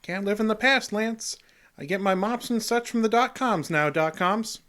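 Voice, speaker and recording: A man's voice: sits high, normal speed, fairly bright tone. An actor playing a folksy, punch-drunk custodian in a comic radio play, jittery and echoing the ends of his own phrases. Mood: defensive, evasive. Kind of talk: old-time radio comedy acting.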